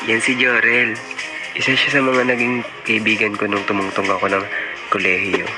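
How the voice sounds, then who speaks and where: A man's voice: on the low side, flat delivery, moderate pace, natural voice. A young Filipino man playing an earnest college student who narrates an amateur motion comic. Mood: reflective. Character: earnest male college student dreaming of fashion design. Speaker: narrator and lead character. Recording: loud music over the voice.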